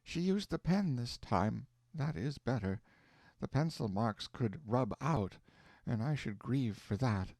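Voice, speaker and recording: A man voicing a gravely ill mother who speaks tenderly and wistfully of her daughter. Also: medium pitch, expressive, a little slow, darkish tone, no echo, clean studio sound.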